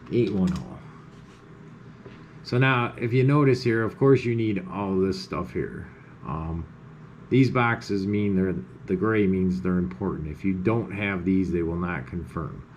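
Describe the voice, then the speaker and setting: Low-voiced man giving a casual tutorial. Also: a little mellow. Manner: informal, explaining step by step. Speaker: amateur radio tutorial host. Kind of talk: unscripted screen-share software walkthrough. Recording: clearly noisy background.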